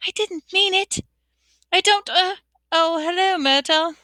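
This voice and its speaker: A woman's voice: on the high side, big pitch swings, unhurried, bright, forceful tone, no room sound, full character voice. A woman voicing a clever, anxious, polite schoolgirl in a storybook, earnest and placating. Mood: falsely bright.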